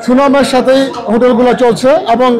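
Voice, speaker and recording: A man's voice: very high-pitched, level tone, fairly quick. A man with a Bangladeshi accent giving spoken remarks to a news camera. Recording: busy crowd noise around.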